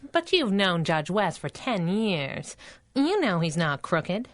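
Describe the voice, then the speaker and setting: An actress playing a plucky young crime-fighting sidekick in a 1930s-style radio adventure, slangy, cheeky and teasing. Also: female voice, lowish voice, sing-song, slow pace, dry recording, studio quality.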